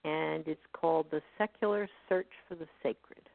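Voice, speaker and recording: Female academic guest, measured scholarly delivery, creaky timbre, phone-quality dry audio. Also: low-pitched, steady tone, no echo. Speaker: history professor guest. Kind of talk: online radio interview. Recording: tinny phone line, dry.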